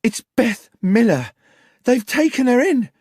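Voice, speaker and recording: A man puts on a frantic young woman, her voice pushed up high and strained to a breathless shriek. She sounds shrill and panicked, in a dry, close audiobook reading. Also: sing-song, measured pace, darkish tone, breathy edge, no echo, full character voice. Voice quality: shrill, screaming.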